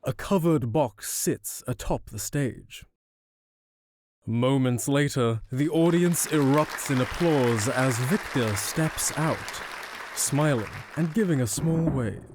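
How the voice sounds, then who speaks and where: A man narrates a scripted audio drama in the third person. His voice sits at a middle pitch as he tells the story. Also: takes it slowly, a crowd in the background, noisy background.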